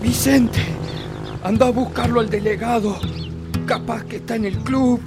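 A man's voice: very high voice, fairly even intonation, a little slow, loud music behind the voice. A man with a northern Argentine accent playing an agitated, kindly village elder in a radio play. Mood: commanding, urgent.

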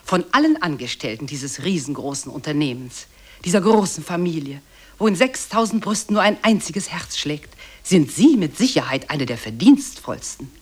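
An actress playing an expansive narrator of a satirical office tale, speaking in rolling, grandiloquent sentences. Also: female voice, low-pitched, very animated, moderate pace, breathy edge, exaggerated voice. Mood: grandiose. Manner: grandiloquent, rolling long lists. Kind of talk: scripted radio play narration addressed to "you".

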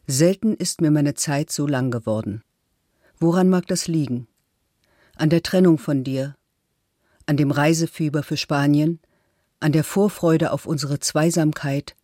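A woman playing an accused middle-aged woman, reading statements and letters for a documentary in a measured, formal, articulate manner. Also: sits low, warm tone, no echo, clean studio sound. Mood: formal, intimate. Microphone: close studio microphone.

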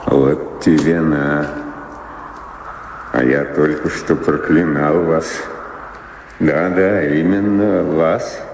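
An actor playing an indignant, scolding manservant of a noble house in a radio drama. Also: male voice, a bass voice, fairly even intonation, very slow, pauses often, a little mellow, exaggerated voice. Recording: reverberant hall sound with faint noise.

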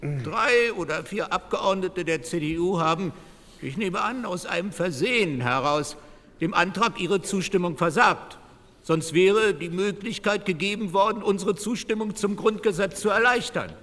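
Insistent, bright-voiced parliamentary delegate. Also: female voice, on the low side, moderate pace. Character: earnest, indignant female delegate pleading in 1949 parliament. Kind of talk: dramatised reading of parliamentary protocol. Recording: reverberant assembly hall.

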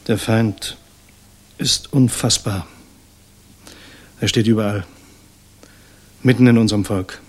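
A grave German resistance saboteur in a vintage radio play. His voice is low and somewhat husky. He speaks in solemn, deliberate phrases with weighty pauses, guilt-ridden yet fervently idealistic. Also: fairly even intonation, a little mellow. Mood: solemn, urgent. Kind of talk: 1960s German radio drama acting.

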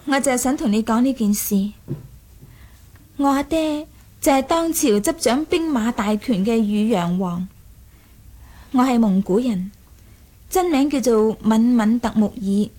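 Young princess, high voice, playful, commanding, poised, radio play. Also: female voice, expressive, measured pace, long pauses, mellow. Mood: poised, teasing. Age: young adult. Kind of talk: Cantonese audio drama acting.

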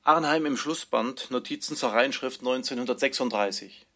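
A man's voice: mid-range voice, moderate pace, bright, forceful tone. A man making scripted announcements of section titles in a matter-of-fact way.

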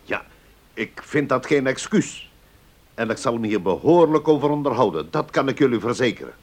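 A man's voice: mid-range voice, sing-song, normal speed. An actor playing a stern, embarrassed father in a radio play, speaking formally. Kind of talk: Dutch radio play acting.

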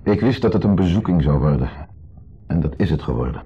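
An actor playing an insecure, reluctant husband in a radio drama, hesitant, reserved and noncommittal. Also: male voice, a bass voice, animated, brisk, husky, soft background music, full character voice. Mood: uneasy, hesitant. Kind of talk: 1970s radio drama acting. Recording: dull 1970s radio recording.

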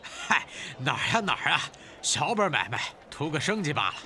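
Man acting a high-voiced, folksy small trader. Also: big pitch swings, normal speed, sharp tone, slight background noise, full character voice. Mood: modest. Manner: self-deprecating, folksy, placating. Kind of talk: Chinese period audio drama acting.

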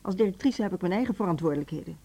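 An actress in a Dutch radio drama playing a stern, controlling middle-aged music school headmistress who speaks curtly and with authority. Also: female voice, lowish voice, expressive, racing, dark tone. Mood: stern, annoyed. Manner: authoritative, curt.

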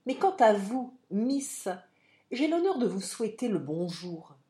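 A woman's voice: a little high, sing-song, unhurried, dark tone. Woman acting a haughty, sarcastic army captain. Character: haughty, sarcastic, burly English army captain, a grown man. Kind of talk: audiobook character acting.

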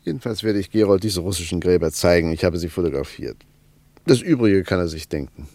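A man's voice: low voice, a little fast, dark tone, no echo. An actor playing an earnest German traveller who points things out as he speaks.

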